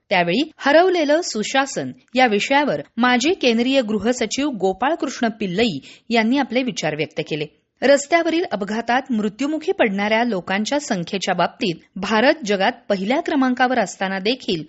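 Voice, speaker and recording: A woman reading a scripted regional radio news bulletin in a formal, measured way. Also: fairly high pitch, muffled recording.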